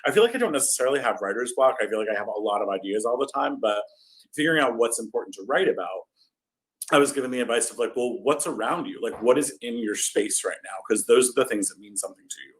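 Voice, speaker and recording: A man's voice: mid-range voice, lively intonation, fast, rough recording. A man chatting casually as an interview guest, thinking aloud in a tentative, loosely hedged way. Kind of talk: casual chat.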